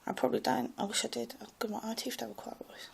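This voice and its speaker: A woman with a posh English public school accent and a slight clipped American edge, telling her own story in a loud, rambling way with many hesitations. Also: a little high, level tone, very fast, creaky, a little hiss or hum. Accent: posh English public school with a slight American Ivy League clip. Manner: hesitant, many fillers and restarts. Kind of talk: rambling personal monologue.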